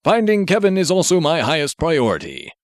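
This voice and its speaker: A man performs an earnest, pompous male superhero from a comic storybook. He declaims in stiff, formal phrases, pitched up from plain speech and full of unshakable confidence. The sound is dry. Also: huge pitch swings, fairly quick, no room sound. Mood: proudly confident.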